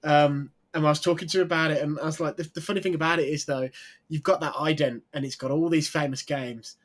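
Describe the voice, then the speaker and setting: A man's voice: on the high side, steady tone, fast. A man chatting eagerly on a video call, rambling and trailing off as he talks. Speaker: games journalist, panelist. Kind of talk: casual video call chat.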